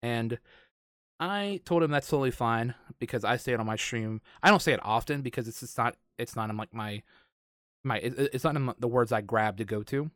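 A man's voice: medium pitch, a little fast, a bit bright, dry recording, studio quality. A man hosting a podcast, chatting casually in an informal, rambling way. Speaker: podcast host.

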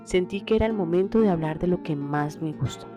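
A woman's voice: on the low side, a little fast, music in the background. A middle-aged woman with a Colombian accent hosting a podcast alone, reading a scripted personal narration. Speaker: podcast host.

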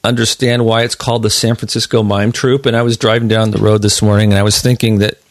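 A middle-aged man hosting a casual interview, speaking in a halting way as he thinks aloud. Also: fairly low pitch, little pitch movement, no echo. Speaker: interviewer, host. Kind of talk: casual chat.

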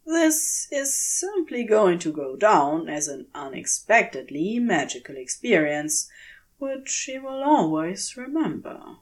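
Female fiction narrator. Unhurried, measured delivery with lively, swooping intonation. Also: mid-range voice. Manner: measured, dramatic storytelling. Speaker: audiobook narrator. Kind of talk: expressive scripted fiction narration.

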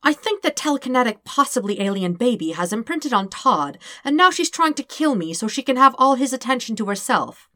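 A woman's voice: a little high, big pitch swings, brisk, few pauses, a bit bright, no room sound, studio quality, voice pushed up. A woman voicing a panicky, dramatic male detective in a story, nervous and insistent. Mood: frantic, insistent.

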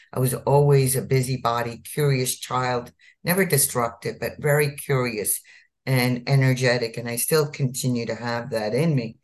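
Earnest woman physician telling her life story. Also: rumbling low. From India. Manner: earnest, repeats words for emphasis. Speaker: physician guest. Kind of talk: interview storytelling.

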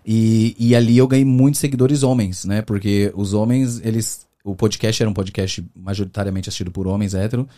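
A man from the interior of São Paulo state, with that region's accent, chatting as a guest on a podcast. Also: on the low side. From Brazil, interior of São Paulo state. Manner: fast, animated storytelling with many fillers. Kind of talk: casual interview chat.

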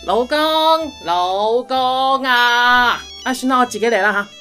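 A woman's voice: fairly high pitch, slow pace, bright, forceful tone, faint music behind. A woman with a Hong Kong accent playing a coy, breezily domineering spendthrift wife in a comedic radio drama. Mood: breezy, bossy.

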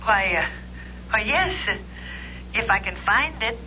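An older landlady in a vintage radio drama, motherly yet evasive. Her voice is sharp and forward, with hesitant, placating phrasing. The sound is narrow and humming, like an old broadcast. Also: a little high, animated, a little slow. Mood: flustered, evasive. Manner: hesitant, placating, proverb-quoting. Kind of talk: 1970s radio drama acting. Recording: tinny, noisy old radio recording with hum.

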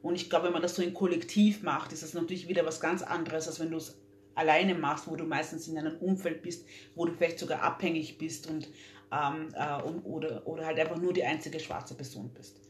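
A woman's voice: deep voice, fairly even intonation, a little fast. Earnest woman in echoey room. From Austria. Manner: earnest, frequent fillers and restarts. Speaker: interview guest. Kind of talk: casual interview talk. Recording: echoey room, faint hum.

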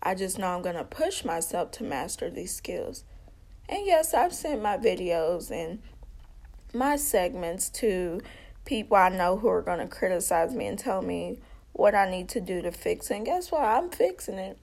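A woman's voice: on the low side, big pitch swings, normal speed, vocal fry, a little mellow. A woman giving an earnest personal testimony, speaking conversationally, calling her listeners y'all and repeating herself with small slips. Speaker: vlogger.

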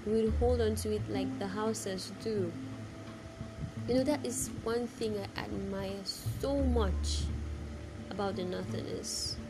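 A woman's voice: mid-range voice, fairly even intonation, unhurried, noisy background. A woman from Nigeria with a Nigerian accent gives a casual, opinionated monologue, speaking emphatically with repeated phrases and rhetorical questions. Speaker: vlogger giving personal opinion.

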